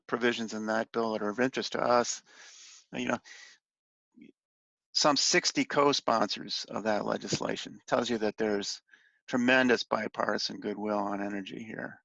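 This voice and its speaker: A man giving an informal slide briefing as a policy advocate on a conference call, speaking haltingly and letting phrases trail off. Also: mid-range voice, creaky, a bit bright. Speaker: industry association policy advocate briefing on legislation. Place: conference call, roomy indoor space. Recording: muffled, roomy conference-call audio.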